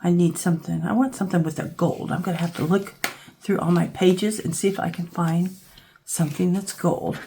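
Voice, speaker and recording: A woman with a scratchy, hoarse voice from a cold, casually talking through a craft project as she works, thinking aloud. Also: on the low side, normal speed. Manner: relaxed, thinking aloud while working with her hands. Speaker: craft channel host. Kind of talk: casual crafting tutorial narration.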